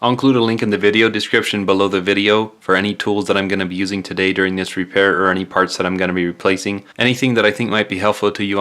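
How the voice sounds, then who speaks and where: A man's voice: lowish voice, pushed and bright. A man giving casual, plain-spoken step-by-step repair instructions as a tutorial host.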